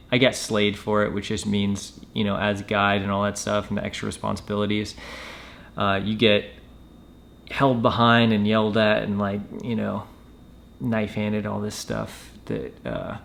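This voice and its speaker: A man telling a personal story in a casual, matter-of-fact way with hesitant pauses. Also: lowish voice, gravelly, a bit bright. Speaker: storyteller, former Marine recruit.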